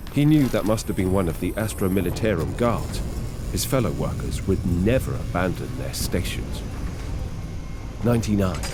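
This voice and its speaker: Low-voiced man narrating dark science fiction. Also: noisy background. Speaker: narrator, audiobook performer. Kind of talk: scripted dramatic narration of a grim science fiction story.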